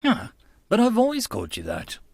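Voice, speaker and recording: Guy doing a high airheaded anime girl, cheerful n innocent, kinda playful, very animated. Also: a little fast, vocal fry, breathy edge, full character voice. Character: cheerful, airheaded young woman, childhood friend.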